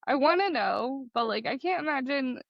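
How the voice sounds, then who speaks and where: Gravelly-voiced woman co-host, muffled and dry. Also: sits high, animated, no room sound. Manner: curious, quick reactions and questions. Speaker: podcast co-host. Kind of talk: casual chat.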